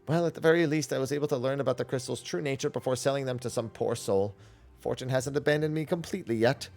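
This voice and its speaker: A man voicing a boastful, smooth-talking merchant from a video game, with florid sales patter. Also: mid-range voice, fast, a little mellow, soft background music, natural voice. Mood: recovering, earnest. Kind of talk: game character dialogue read aloud.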